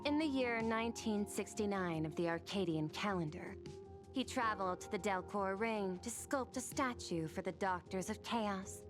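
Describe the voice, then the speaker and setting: A woman's voice: mid-range voice, normal speed, natural voice. A woman voicing a formal, analytical aide in a video game, precise and deferential as she reports. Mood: businesslike. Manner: precise, deferential, matter-of-fact. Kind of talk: scripted video game dialogue. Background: prominent music.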